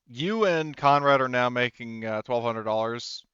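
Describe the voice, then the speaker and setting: Clear-voiced man running a game, slightly muffled. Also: mid-range voice, a little slow, pushed and bright, dry recording. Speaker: game master. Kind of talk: casual tabletop game chat. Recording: muffled, slightly lo-fi voice chat mic.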